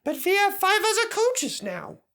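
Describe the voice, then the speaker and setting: A man's voice: wildly swooping pitch, a little slow, bright, forceful tone, full character voice. A man voicing an anxious, eager young male steam engine in a storybook reading. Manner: eager, polite, high and strained.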